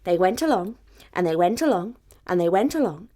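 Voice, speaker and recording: A woman storyteller narrating a children's fairy tale in an expressive, rhythmic way. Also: on the low side, brisk.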